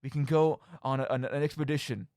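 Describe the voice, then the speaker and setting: A man's voice: medium pitch, steady tone, a little fast, a little mellow, smooth, no room sound, studio quality. A young man hosting a casual, rambling radio show in a playful, mock-dramatic, tongue-in-cheek manner. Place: radio studio. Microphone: studio microphone.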